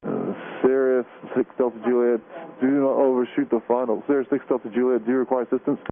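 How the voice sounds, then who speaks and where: Guy working atc, average pitch, procedural phraseology, really tinny radio sound. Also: level tone, quick pace. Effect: air traffic radio. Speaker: air traffic controller. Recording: tinny, narrow-band aviation radio.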